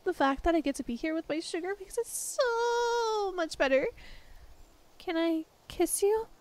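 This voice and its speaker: A woman's voice: very high-pitched, animated, unhurried, a little mellow. A woman playing a clingy, obsessive young girlfriend in an ASMR roleplay, sweet, gushing and emotional, with an apologetic, hesitant way of talking. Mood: excited, loving.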